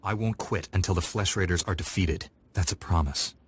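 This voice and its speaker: A man's voice: deep voice, normal speed, slightly rough, dry recording. An actor playing a terse, resolute young male Jedi knight in a game cutscene. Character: dark-side male Jedi knight, blunt. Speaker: player character, Jedi knight.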